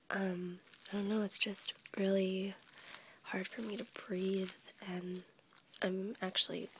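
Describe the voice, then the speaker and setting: A young woman with a cold, whispering softly as she rambles for an ASMR video, stuffy and a little congested. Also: mid-range voice, a little hiss or hum. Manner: soft unvoiced whisper, rambling with fillers and small laughs. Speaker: ASMR YouTuber. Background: crinkling packaging.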